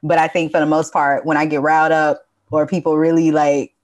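A woman from the American South with a Southern accent, a podcast guest talking in an expressive casual chat and laughing easily. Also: sits low, a little fast.

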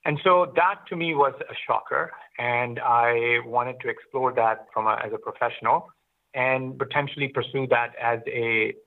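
A middle-aged man speaks as an interview guest, with fry running through his voice. He recounts his experiences reflectively, often restarting and repeating words. The sound is narrow and thin, like a phone line. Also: medium pitch, normal speed. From Pakistan, grew up in Oman. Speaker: interview guest, business founder. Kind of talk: conversational personal storytelling.

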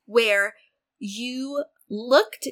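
A woman's voice: fairly high pitch, very slow, fairly bright tone. A woman co-hosting a casual podcast, bantering with self-deprecating humour. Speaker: podcast co-host.

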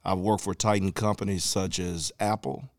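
A man from with a deep voice, chatting easily as a podcast guest. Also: steady tone, moderate pace, no room sound, clean studio sound. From Memphis, USA. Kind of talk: casual chat.

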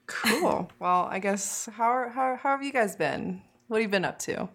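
A woman's voice: fairly high pitch, moderate pace, fairly bright tone. A young woman hosting a podcast interview, bubbly and giggly, with hesitant phrasing. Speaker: podcast host.

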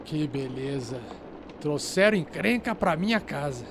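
A man's voice: on the high side, lively intonation, a little slow, noisy background. A man playing a cocky, mercenary hacker shut-in, sardonic, theatrical and fussy, in a tabletop game.

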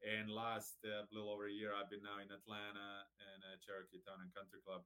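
A man in his forties with a Serbian accent and a bright, forward tone. He talks through his background in a relaxed, matter-of-fact way, often restarting sentences as he goes. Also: lowish voice, brisk. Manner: friendly, matter-of-fact storytelling with frequent restarts. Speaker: guest, racquet sports director. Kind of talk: casual interview chat.

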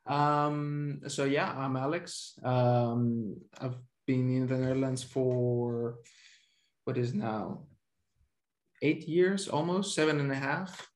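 A man's voice: medium pitch, fairly even intonation, takes it slowly. A man from Greece, speaking casually as a technical guest on a podcast. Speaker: podcast guest, OT security specialist. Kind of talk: casual explanatory chat.

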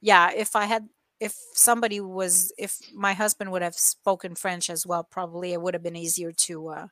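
Middle-aged woman, giggly and animated, lots of likes, dry close mic. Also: fairly low pitch, normal speed, no echo. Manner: animated, giggly, filler-heavy. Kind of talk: casual chat.

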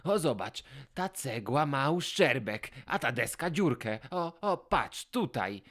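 A man voicing an anxious, self-pitying perfectionist crocodile builder in a children's audio fairy tale, theatrical and halting. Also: mid-range voice, big pitch swings, a bit bright, full character voice. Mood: fretful.

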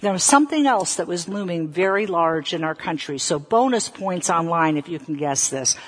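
Low-voiced American woman preaching in echoey hall. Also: lively intonation, breathy edge. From Southern United States. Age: 50s. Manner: deliberate, addresses listeners with rhetorical questions. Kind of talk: sermon with personal storytelling. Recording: echoey hall with long reverb.

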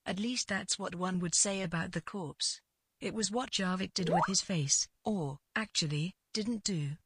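Slightly low, husky woman narrating fiction. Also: a bit bright, no echo. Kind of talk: scripted literary fiction narration.

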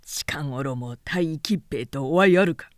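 A man's voice: on the high side, measured pace, no echo, clean studio sound, pitched below the performer's own voice, lightly acted. A woman narrator voicing a menacing male warlord who questions and commands in mocking, lordly archaic speech in a storybook reading. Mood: sardonic menace. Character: menacing male warlord, sardonic, imperious interrogator.

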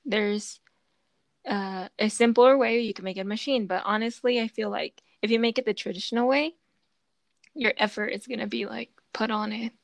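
A woman's voice: a little high, fairly even intonation, normal speed, creaky, no echo. A woman hosting a casual podcast chat, explaining at length with lots of fillers and restarts. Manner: chatty, explaining with many fillers. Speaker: podcast host.

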